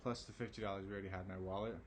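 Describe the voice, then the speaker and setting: Raspy low guy teaching algebra late at night, laid back, lots of okays, faint hiss behind. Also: fairly even intonation, very fast. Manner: casual, reassuring, many ums and okays. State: raspy, tired voice late in the day. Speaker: math teacher. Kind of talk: recorded math lesson.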